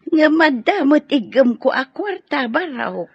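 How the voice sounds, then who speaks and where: A woman playing a fretful, doting old grandmother in a radio drama, with a gravelly voice. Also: high-pitched, very animated, a little slow, no echo, exaggerated voice. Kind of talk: Ilocano radio drama acting. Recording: dull lo-fi audio.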